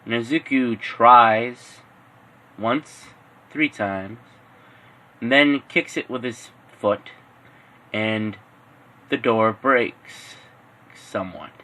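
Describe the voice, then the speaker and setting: A male storyteller improvising fan fiction. He takes it slowly and haltingly, rephrasing as he goes, with a bright, forceful voice at an average pitch. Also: low-quality recording.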